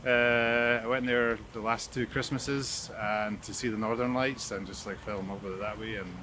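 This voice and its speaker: A Scottish man with a fairly deep voice chats casually. His delivery is understated and hesitant, recorded amid clear background noise. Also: fairly even intonation, normal speed. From Scotland. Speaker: interviewee, landscape photographer. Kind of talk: casual chat. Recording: noisy outdoor street recording.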